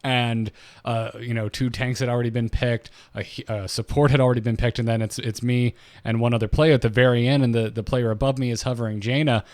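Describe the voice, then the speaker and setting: A man's voice: mid-range voice, fairly even intonation, brisk, a little mellow. Chatty man telling a gaming story. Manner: chatty storyteller, stammers and restarts. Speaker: podcast co-host. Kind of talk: casual gaming podcast talk.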